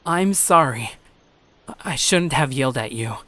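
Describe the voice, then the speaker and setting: A man's voice: medium pitch, animated, unhurried, noisy background, lightly acted. Man acting an earnest, anxious schoolboy narrator. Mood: apologetic. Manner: conversational, introspective. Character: earnest, anxious teenage schoolboy narrating his troubled friendships. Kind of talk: anime-style audio story, first-person scripted narration.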